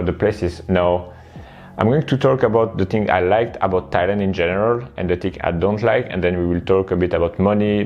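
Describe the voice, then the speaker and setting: Low-voiced man chatting casually. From Belgium. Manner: relaxed, chatty, many fillers and tag questions. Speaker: vlogger and online coach. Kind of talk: casual talk to camera.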